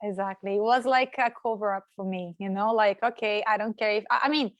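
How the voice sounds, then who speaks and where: A woman's voice: mid-range voice, fairly quick, no room sound, lo-fi sound. A young adult woman from Brazil, a native Portuguese speaker, talking hesitantly in an interview with many fillers and repeated words. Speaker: guest. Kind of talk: casual interview talk.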